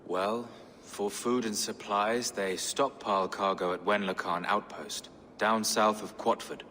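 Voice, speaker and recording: An actor playing an anxious, war-weary, pious bishop in a video game, speaking in an earnest, formal way. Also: male voice, fairly low pitch, fairly even intonation, unhurried, sharp tone, in a large hall, a little hiss or hum. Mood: grave, advising. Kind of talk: dramatic video game acting.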